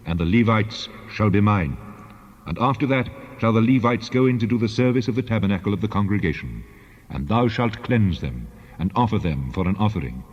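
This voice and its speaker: A man performs a solemn male deity in a dramatized scripture recording, issuing commands in a measured, declamatory way. The voice sits low and rings in a long hall reverb. The sound is somewhat dull and lo-fi. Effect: heavy reverb on the voice.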